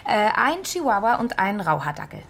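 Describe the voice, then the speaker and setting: An actress playing a sardonic police detective with a wry, slightly exasperated manner in a radio crime drama. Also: female voice, medium pitch, sing-song, moderate pace, vocal fry, fairly bright tone, full character voice. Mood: reporting. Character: sardonic female detective on a murder team.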